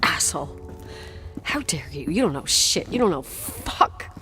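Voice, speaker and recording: A woman's voice: low voice, big pitch swings, unhurried, sharp tone, soft background music, full character voice. An actress playing a strong-willed, defiant young woman in a video game, with an intense, emphatic, sweary delivery. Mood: bitter, irritated. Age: young adult. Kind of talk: naturalistic video game voice acting.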